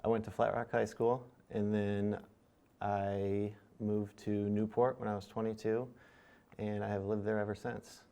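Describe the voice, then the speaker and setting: A middle-aged man from Michigan, answering and asking questions in a measured, deliberate way with filler pauses. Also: on the low side, level tone, gravelly, dark tone. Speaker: returning student and interviewee. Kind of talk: casual interview chat.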